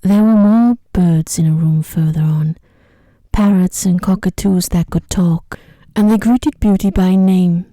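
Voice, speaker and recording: A woman narrating a bedtime story in a soft, breathy, whispery ASMR voice, gently and soothingly. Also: on the low side, no room sound. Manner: slow, gentle, soothing delivery.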